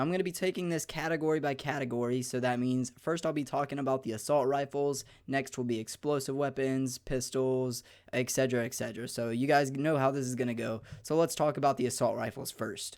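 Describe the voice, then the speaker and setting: A young man hosting a gaming channel, slightly hoarse, talking through a beginner's game guide in an upbeat, chatty way with many fillers. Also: medium pitch, a little fast, hardly any pauses, darkish tone. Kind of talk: casual gaming guide talk.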